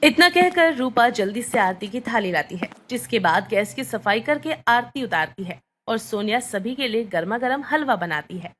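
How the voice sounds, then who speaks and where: A woman narrates a scripted bedtime story. Her voice sits fairly high, and there is noticeable noise in the background behind her. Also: lively intonation, normal speed, hardly any pauses, a bit bright.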